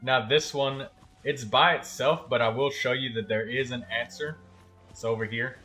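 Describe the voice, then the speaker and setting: A man's voice: mid-range voice, fairly even intonation, normal speed, sharp tone, faint music behind. A man giving casual, instructive gameplay commentary in colloquial language, as a gaming host helping another player. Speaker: livestream game host.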